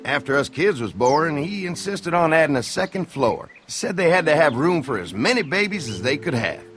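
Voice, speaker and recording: Lively folksy Southern farm boy. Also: fairly high pitch, moderate pace, soft background music. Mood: wistful. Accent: American Southern. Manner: drawling, folksy. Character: folksy young Southern farmer man, friendly host of dairy farm. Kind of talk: video game voice acting.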